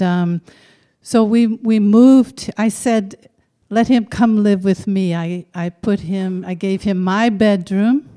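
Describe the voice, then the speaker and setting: A middle-aged woman tells a personal story to a live audience, frank and conversational. Her voice is mellow and smooth, and she speaks slowly, leaving room between thoughts. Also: on the low side. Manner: frank, unhurried, conversational with occasional fillers.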